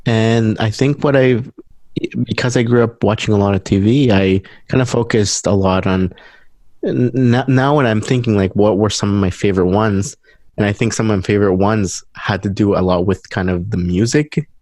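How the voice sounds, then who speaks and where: A young man from Guatemala talks in a low voice, recorded close and dry. He is an interview guest speaking casually, often restarting and feeling around for the right word. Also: fairly even intonation, darkish tone, no echo. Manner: halting, searching for words, informal.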